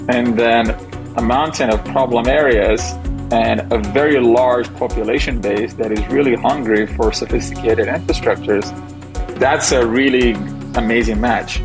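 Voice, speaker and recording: A young adult man from Ethiopia, a founder and investor, giving measured, thoughtful interview answers with the odd restart. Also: medium pitch.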